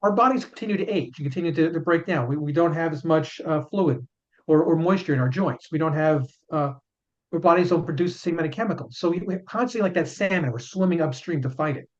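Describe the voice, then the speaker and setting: Earnest chiropractor with muffled lo-fi sound. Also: male voice, fairly high pitch, fast. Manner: earnest, repeats words often while explaining. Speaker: chiropractor and author, guest. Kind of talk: casual interview answers. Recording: dull lo-fi sound.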